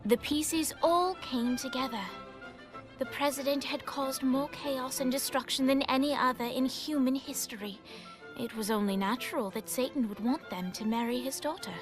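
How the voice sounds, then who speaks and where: A woman playing the storyteller of a darkly comic video game tale, measured and literary in delivery. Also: high-pitched, soft background music, close to an everyday voice. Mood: storytelling. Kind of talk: scripted game narration.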